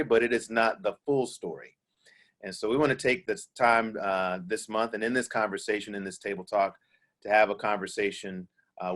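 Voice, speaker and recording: A male pastor with a lowish voice leads a panel talk over a video call. He speaks earnestly but haltingly, leaning on fillers as he gathers his thoughts. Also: a bit bright. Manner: hesitant, many fillers, earnest. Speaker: pastor and moderator.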